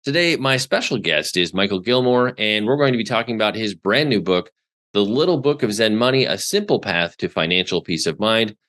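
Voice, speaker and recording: A man's voice: lowish voice, lively intonation, brisk, a bit bright. A man hosting a podcast interview, with an upbeat, scripted-sounding intro and friendly questions. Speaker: podcast host.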